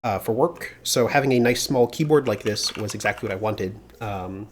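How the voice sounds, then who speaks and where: A slightly congested man chatting casually on a live coding stream, thinking aloud with plenty of filler pauses, into a mounted mic at a home desk. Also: lowish voice, a little hiss or hum. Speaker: livestreamer doing security research. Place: home desk with mechanical keyboard. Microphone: mounted desk studio mic.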